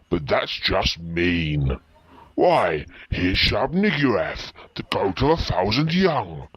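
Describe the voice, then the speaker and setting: Man putting on a slow, friendly singing Cthulhu monster. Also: lowish voice, breathy edge, no echo, voice pushed down, full character voice. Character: friendly singing cartoon Cthulhu, octopus-headed sea monster. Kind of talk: comic children's-style song with spoken patter. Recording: loud plucked guitar music behind. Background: guitar music.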